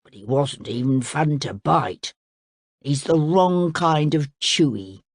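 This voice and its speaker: A woman's voice: low voice, lively intonation, slow pace, a little mellow, dry recording, studio quality, full character voice. A woman growling as a sulky greedy crocodile. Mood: grumbling. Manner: blunt, grumbling, sulky.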